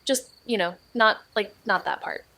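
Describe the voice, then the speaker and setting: An actress playing a level-headed, weary young woman amateur detective in a naturalistic audio drama, with a terse, deadpan delivery. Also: medium pitch, moderate pace.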